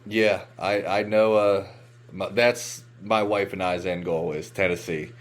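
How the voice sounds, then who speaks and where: Low-voiced American man chatting casually. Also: steady tone, a little slow. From West Virginia, United States. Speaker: podcast host. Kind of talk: casual chat, telling anecdotes.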